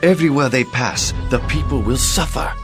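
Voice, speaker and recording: An actor playing an earnest, principled court minister in a game cutscene, measured but insistent. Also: male voice, mid-range voice, natural voice. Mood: concerned, insistent. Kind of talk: dramatic scripted game acting. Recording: music behind the voice.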